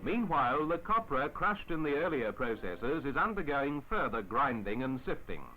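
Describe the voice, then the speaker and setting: A man narrating a 1950s newsreel in a formal, measured announcer style on an old film soundtrack. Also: mid-range voice, very animated, low-quality recording. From Australia. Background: music.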